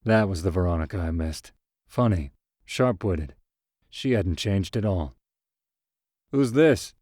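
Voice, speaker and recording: Male narrator, scripted first-person fiction. Fairly low voice, very dry sound. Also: unhurried, darkish tone, no room sound.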